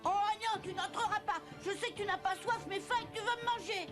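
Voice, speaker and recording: An actress playing a proud, childlike little pig in a cartoon, boastful and expressive. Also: female voice, very high voice, a little fast. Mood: defiant. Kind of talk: cartoon dub acting. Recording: loud music behind the voice.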